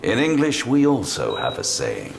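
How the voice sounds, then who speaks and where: A tough demon-hunting detective in an audio drama, with a low, creaky voice. He is dry and gruff, his pitch swinging widely over a music bed. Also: huge pitch swings, normal speed, heavily acted. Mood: dry, teasing. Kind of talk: full-cast audio drama acting. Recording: music behind the voice.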